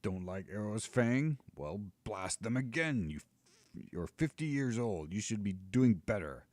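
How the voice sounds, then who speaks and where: A man putting on a loud hectoring giant, raised voice, dry close sound. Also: lowish voice, expressive, measured pace, no echo, voice pushed up. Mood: scolding. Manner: hectoring, bossy. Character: blustering scolding male giant.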